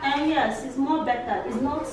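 A woman's voice: sits high, normal speed. A woman in her thirties chatting casually for her vlog while packing food at home. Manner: chatty, laughs easily, counts aloud. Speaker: vlogger. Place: large tiled living room, indoors. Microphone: far camera. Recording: echoey room from far off, noisy.

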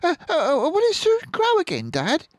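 A tiny fox cub squeaks and stammers in a very high voice. The sound is close and dry, with lively swoops of pitch. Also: male voice, normal speed, no room sound, full character voice. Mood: anxious. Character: small frightened fox cub, young boy.

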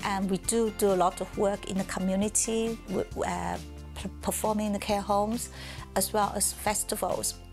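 A woman's voice: medium pitch, level tone, normal speed, gravelly, faint music behind. A middle-aged woman from southern China with a Chinese accent, telling her life story candidly in an interview. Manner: candid, reflective, laughs softly now and then. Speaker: musician.

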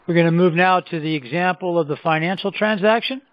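A man presenting a software demonstration in scripted, explanatory narration with measured phrasing. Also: high voice, no room sound, low-quality recording. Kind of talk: scripted product demo narration.

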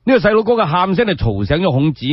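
A man with a Cantonese accent telling a story in an expressive, colloquial radio storytelling style. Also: sits high, quick pace, dry recording, muffled recording. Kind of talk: animated solo radio storytelling.

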